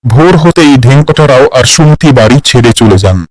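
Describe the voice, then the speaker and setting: A man's voice: mid-range voice, expressive, normal speed, no echo, low-quality recording. A man narrating a cartoon fairy tale in a scripted storytelling style.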